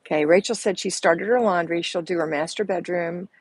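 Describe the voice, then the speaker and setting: A soft-spoken woman with a drawl chats through a live stream, reading comments and coaching viewers in a motherly way. Her voice sits a little low and carries a husky, breathy edge. She is picked up by a small earbud microphone. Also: a little fast, no echo. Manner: chatty, motherly, calls viewers honey and girls. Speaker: home organizing coach hosting a live chat. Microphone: earbuds with mic.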